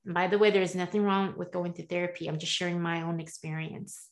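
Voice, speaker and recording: A woman's voice: fairly low pitch, fairly quick. A woman in her forties, born in the US and partly raised in Taiwan, telling her story as a guest coach over a video call from a room at home, earnest and with many asides. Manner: earnest, animated hand gestures, frequent "right?" asides. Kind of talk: candid interview storytelling.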